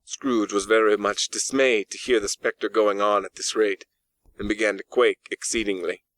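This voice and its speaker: Bright, forceful male storyteller. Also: fairly low pitch, level tone, moderate pace, dry recording. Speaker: audiobook narrator. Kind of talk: scripted dramatic story narration. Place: close, dry in-house recording.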